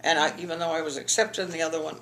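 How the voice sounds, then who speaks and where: A woman's voice: low voice, level tone, racing, fairly bright tone, a little hiss or hum, rough recording. An older woman from the eastern United States, telling her school memories in an oral history interview, rambling with frequent restarts. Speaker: interviewee, alumna.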